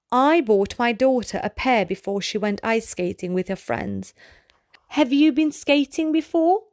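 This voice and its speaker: A woman reading a children's storybook aloud in a measured way, pausing phrase by phrase. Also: on the high side, no room sound, studio quality. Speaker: read-aloud narrator of a children's book. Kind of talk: slow read-aloud of short storybook pieces.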